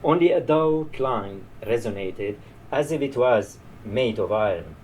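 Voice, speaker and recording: Kurdish-accented guy at a book reading, really slow and halting, bit of background noise. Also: medium pitch, darkish tone, rough recording. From Iraqi Kurdistan. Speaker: novelist reading own work. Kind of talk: live introduction and literary reading. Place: live event in a bar. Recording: live bar event, faint background noise.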